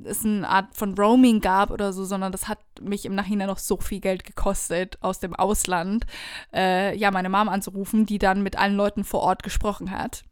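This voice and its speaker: A woman telling viewers a personal travel story in a casual, animated, conversational way. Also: mid-range voice, normal speed, smooth, dry recording, clean studio sound.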